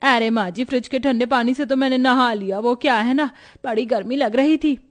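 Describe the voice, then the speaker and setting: A woman's voice: fairly high pitch, normal speed, lightly acted. A woman playing a naive, thrifty young village bride in a comic cartoon moral story. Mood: cheerfully matter-of-fact.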